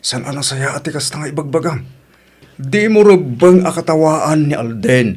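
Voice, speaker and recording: A man with an Ilocano accent acting as a reasonable husband and father in an audio drama, gently reproving. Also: on the high side, moderate pace, slightly rough.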